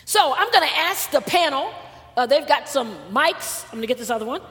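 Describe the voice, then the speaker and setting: A woman's voice: sits high, animated, fairly quick, a bit bright. A woman pastor preaching emphatically and hosting a panel in a church. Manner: emphatic, calls out to congregation. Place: church sanctuary. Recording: long hall reverb.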